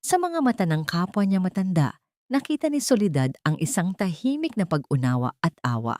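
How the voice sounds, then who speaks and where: A woman narrating a dramatic scripted story in a measured, solemn, melodramatic way. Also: on the low side, big pitch swings, darkish tone, no echo, studio quality.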